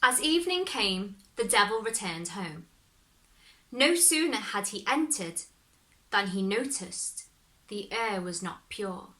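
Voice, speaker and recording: A woman reading a fairy tale aloud as expressive scripted narration, measured and dramatic. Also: medium pitch. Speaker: story reader, performer.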